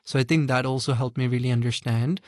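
A young man from Hong Kong, a guest answering interview questions in an earnest, measured way. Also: medium pitch, steady tone, darkish tone, no room sound, clean studio sound. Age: young adult.

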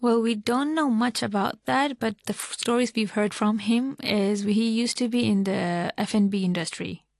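A woman's voice: a little high, moderate pace, vocal fry, hardly any pauses, dry recording. A woman in her thirties from Hong Kong, answering interview questions as a small-business owner in long, chatty explanations.

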